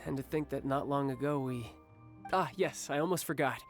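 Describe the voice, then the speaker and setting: A man's voice: mid-range voice, normal speed, faint music behind, natural voice. A man playing a courteous, confident young warrior with a wistful brotherly side in an anime video game. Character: smooth-talking young male warrior, fond older brother.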